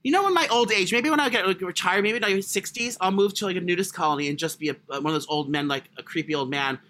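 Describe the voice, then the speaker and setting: A man with a high voice chatting as a podcast co-host, crude, rambling and self-mocking. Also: fast, pushed and bright. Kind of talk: casual chat.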